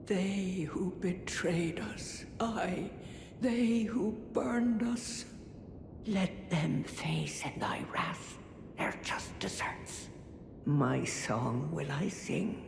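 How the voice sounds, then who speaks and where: Slow, creaky, breathy old crone. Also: female voice, on the low side, background noise, full character voice. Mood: vengeful pleading. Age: older. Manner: slow, archaic, ceremonious speech. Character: aged crone grandmother, devout, grieving, vengeful, courteous. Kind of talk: theatrical fantasy game acting. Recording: echoey reverberant hall, music behind.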